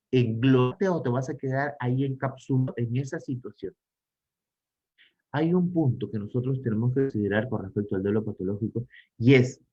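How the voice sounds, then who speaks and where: Brief: Peruvian male psychologist, mid-pitched, didactic lecturer asking rhetorical questions, slightly muffled audio. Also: breathy edge. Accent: Peruvian Spanish. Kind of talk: online conference talk.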